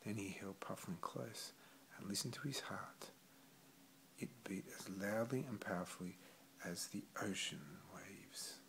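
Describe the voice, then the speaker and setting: A man reading a children's story aloud expressively, with gentle, deliberate phrasing. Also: on the low side, vocal fry, whispered, rough recording. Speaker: storybook reader.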